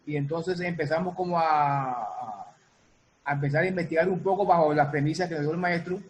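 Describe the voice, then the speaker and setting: A man explaining his work casually as an interview guest, repeating and rephrasing as he goes. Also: on the high side. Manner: halting, repeats and reformulates. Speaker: guest, music producer and sound designer.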